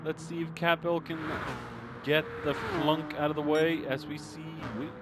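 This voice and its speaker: A man giving excitable, informal live race commentary with hesitations, his voice strained and starting to give out. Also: a little high, measured pace, background noise. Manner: exclamatory, informal, peppered with hesitations. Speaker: esports racing commentator.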